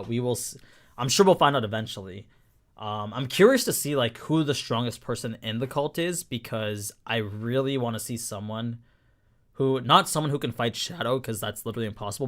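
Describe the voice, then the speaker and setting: A young man talks casually on a live stream, his voice a little hoarse after an hour of talking. His pitch sits in a middle register, and he rambles with plenty of fillers and restarts as he answers chat.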